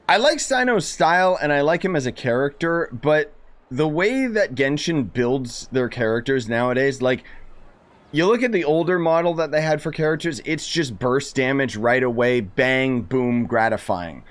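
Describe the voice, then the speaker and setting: A man's voice: a little high, a bit bright. A man giving casual live-stream game commentary, blunt and griping as he chats to viewers. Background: video game music and dialogue.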